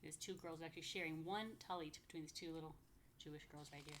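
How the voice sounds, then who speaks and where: A woman's voice: low voice, steady tone, quick pace, fairly bright tone, slight background noise. An American woman reading a picture book aloud to young children, with chatty asides and playful questions to her listeners. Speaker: rabbi, story time host for young children.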